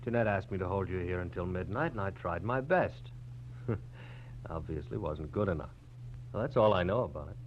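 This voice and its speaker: An actor playing a slippery, belligerent crook who blusters and dodges questions in 1940s radio style. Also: male voice, low voice, expressive, normal speed. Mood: smug, tired. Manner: blustering, evasive. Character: slippery, belligerent male crook, smug when beaten. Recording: noisy, muffled old radio recording with hum.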